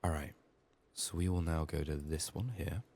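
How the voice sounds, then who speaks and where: A man doing soft-spoken, often whispered ASMR game commentary, muttering to himself with a voice strained by illness. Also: extremely low pitch, normal speed, gravelly, slightly rough. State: sick, resting a strained voice. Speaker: video game streamer.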